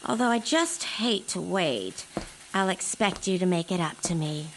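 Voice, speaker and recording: A woman's voice: lowish voice, expressive, measured pace, rough recording. An actress playing a flirtatious, insistent woman with a coy, suggestive manner in an audio drama. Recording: noise and ambience behind.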